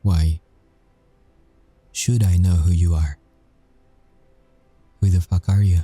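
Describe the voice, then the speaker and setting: Casting: male actor as mocking supernatural convict for roleplay audio; low register, close dry sound, sweary, sarcastic, dangerous temper. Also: steady tone, slightly rough, no room sound, soft background music, full character voice. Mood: taunting. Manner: slow, taunting, sarcastic, sweary, with dark chuckles. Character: sardonic, volatile male supernatural prisoner, mocking and bitter. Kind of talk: audio roleplay ASMR acting.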